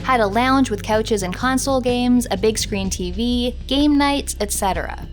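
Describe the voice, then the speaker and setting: Higher-pitched woman reading documentary quotes. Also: normal speed, faint music behind. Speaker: reader of quotes.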